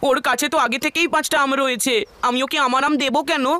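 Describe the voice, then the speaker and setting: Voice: high-pitched, steady tone, fast, bright, forceful tone, dry recording. A performer voicing a whiny, combative young cartoon boy who argues indignantly with his brother. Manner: indignant, argumentative. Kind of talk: animated cartoon comedy dialogue.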